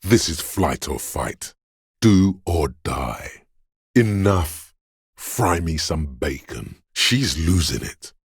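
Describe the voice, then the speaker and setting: An actor voicing a video game's primal fight-or-flight instinct, a menacing, paranoid inner voice that goads in a deep, gravelly rasp, slow and ominous. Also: male voice, big pitch swings, no echo, clean studio sound, full character voice. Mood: violent urgency. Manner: slow, ominous, goading, profane. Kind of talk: dramatic video game voice acting, second-person inner voice.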